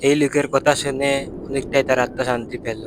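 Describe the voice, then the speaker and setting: A man's voice: medium pitch, fairly even intonation, fast, noisy background. A man narrating a cartoon story in plain storytelling style.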